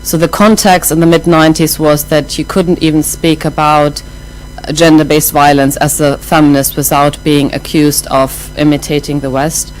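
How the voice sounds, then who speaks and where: A middle-aged woman with a German accent gives a lecture in a low, grounded voice. She speaks thoughtfully, with frequent "um"s and self-corrections as she works through her points. Faint music sits underneath. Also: flat delivery, moderate pace, low-quality recording. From half German, half Iraqi. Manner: thoughtful, many ums and restarts. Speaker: academic speaker.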